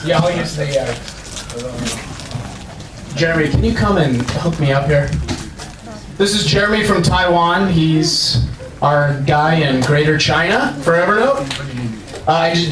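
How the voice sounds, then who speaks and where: A man giving a casual conference talk to a live audience, loud and chatty, with many fillers and restarts. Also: a little high, animated, unhurried, pauses often, crowd noise. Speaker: conference speaker. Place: large university lecture hall. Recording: echoey hall picked up from far off.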